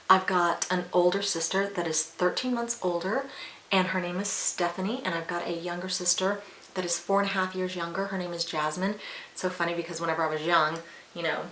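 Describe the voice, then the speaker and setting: A woman's voice: on the low side, sharp tone. A woman in her forties chatting casually and candidly to viewers as a beauty vlogger, rambling with asides. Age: 40s. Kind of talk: casual chat to viewers while applying makeup. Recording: roomy echo with faint hiss.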